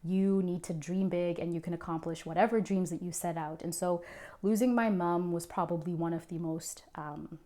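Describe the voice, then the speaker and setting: A woman's voice: on the low side, fairly even intonation, moderate pace, warm tone. A young woman from Zimbabwe who is a founder and creative director, telling her personal story in an earnest, reflective way with frequent ums. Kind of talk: personal story told to camera.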